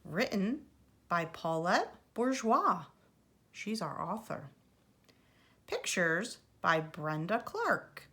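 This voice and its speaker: Lady teacher reading a kids book out loud, really animated, bright voice, takes her time. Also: lowish voice. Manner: animated, deliberate delivery for young children. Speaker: preschool teacher reading aloud. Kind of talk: expressive children's storybook reading.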